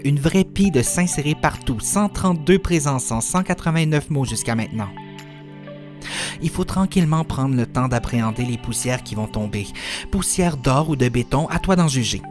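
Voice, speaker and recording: Man reciting spoken-word poetry over music. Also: mid-range voice. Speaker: poet reciting own work.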